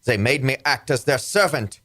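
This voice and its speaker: Man acting older scientist, hearty, loud, lively swooping pitch, exclamatory radio serial delivery. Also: normal speed. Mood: excited, hearty. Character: hearty older male scientist, hero's comrade.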